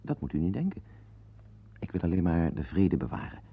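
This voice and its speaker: A wry, grumbling husband in a radio play, low-voiced and gravelly. He is hesitant and apologetic, a nervous recluse, on a dull lo-fi recording. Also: sing-song, fairly quick, exaggerated voice. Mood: wry, resigned. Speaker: radio play narrator and lead. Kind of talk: radio drama monologue and dialogue.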